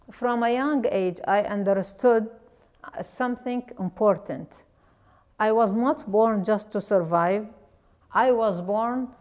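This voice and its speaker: A woman with an Iraqi Arabic accent, an educator and life coach, telling her own story earnestly with many pauses and restarts. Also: mid-range voice, a little mellow. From Iraq. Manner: earnest, pausing often with fillers and restarts. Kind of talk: personal story talk.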